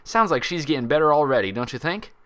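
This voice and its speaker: A man's voice: a little high, lively intonation, fast talker, a bit bright, clear, resonant tone, pitched above the performer's own voice. A man voicing a friendly, proud and touchy female cowgirl pony doctor in a storybook, with a folksy American Southern drawl. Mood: cheerful, proud.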